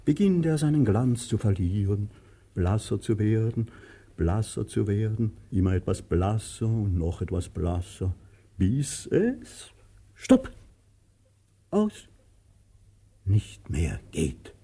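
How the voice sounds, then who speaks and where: An actor playing a cruel, pompous master of about sixty in a radio drama, declaiming theatrically and swinging between grandeur and self-pitying whining. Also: male voice, lowish voice, sing-song, takes it slowly, pauses often, mellow, husky, exaggerated voice. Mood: grandiloquent. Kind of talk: radio drama stage acting.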